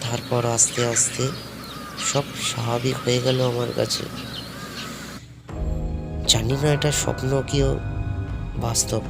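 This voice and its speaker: A man's voice: mid-range voice, flat delivery, measured pace, creaky, long pauses. A man narrating a scripted horror story in storytelling fashion. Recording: loud music under the voice. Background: loud background music.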